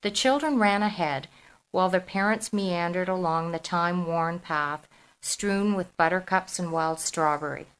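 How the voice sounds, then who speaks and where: A woman's voice: lowish voice, fairly bright tone, poor audio. A woman from Toronto with a Canadian accent, speaking as an author presenting her writing. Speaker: author, podcast guest. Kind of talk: reading prose and talking about her book.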